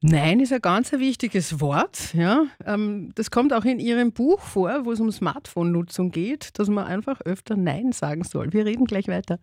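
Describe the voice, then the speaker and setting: Chatty radio host, dry studio sound. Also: male voice, high voice, lively intonation, moderate pace, hardly any pauses, darkish tone, dry recording. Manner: chatty, affirms often with "ja" and "mhm". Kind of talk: radio interview.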